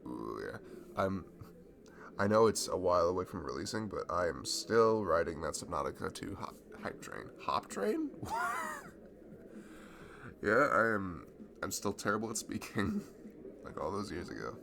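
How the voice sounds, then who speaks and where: A man playing a friendly, nerdy young guy in a casual ASMR roleplay, chatting to a childhood best friend in a halting, self-deprecating ramble. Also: low-pitched, huge pitch swings, gravelly, noisy background, exaggerated voice. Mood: enthusiastic, geeky. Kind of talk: improvised-sounding ASMR roleplay, casual one-sided conversation.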